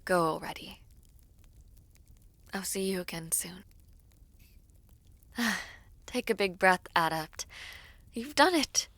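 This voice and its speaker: A woman acting as a regal villainess princess, poised and commanding yet caring. Her voice sits low and moves slowly, every formal phrase measured, recorded close and dry in fantasy audio drama style. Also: lively intonation, a bit bright, dry recording, exaggerated voice. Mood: tender, reassuring.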